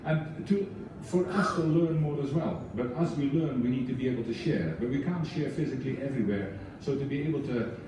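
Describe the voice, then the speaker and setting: A man's voice: medium pitch, brisk, few pauses, far from the mic. Foreign-accent guy giving a speech, passionate, lots of restarts, super echoey room, faint hum behind. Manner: earnest, passionate, with many restarts. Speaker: book club leadership team member. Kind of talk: speech to an audience.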